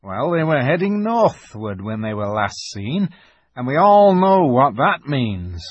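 Man voicing a slow, folksy old talking beaver. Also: medium pitch, big pitch swings, no echo, dull audio, voice pushed up. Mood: gloomy. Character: grave, kindly old male talking beaver, rustic and knowing. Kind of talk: dramatized storybook reading.

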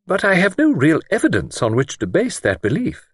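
A man's voice: a little high, huge pitch swings, moderate pace, breathy edge, no room sound, clean studio sound, voice pushed up, heavily acted. A man voicing a genteel, hesitant and anxious elderly woman in an audiobook, speaking in prim, careful, formal phrases. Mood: anxious, earnest. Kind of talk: audiobook character voice.

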